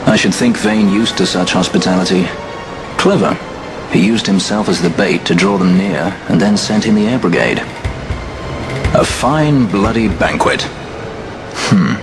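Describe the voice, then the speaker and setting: A man's voice: low voice, unhurried, long pauses. An actor playing a witty, refined male sky pirate who speaks in a dry, sardonic way, with game music behind him. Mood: wry. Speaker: game character. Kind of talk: cutscene voice acting. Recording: game cutscene with clear score behind.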